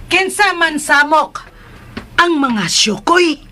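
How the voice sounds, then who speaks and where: Childlike playful mom, high voice, really slow talker, cebuano accent, radio drama. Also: female voice, animated, sharp tone, heavily acted. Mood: stubborn. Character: simple, giggly, stubborn mother of a grown daughter.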